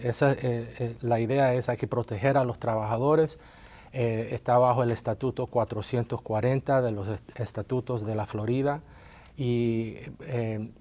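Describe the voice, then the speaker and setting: A man's voice: medium pitch, darkish tone. A man born in Colombia and raised in Miami, answering interview questions as a lawyer, hesitant, with many filler sounds. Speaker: workers' compensation lawyer, guest.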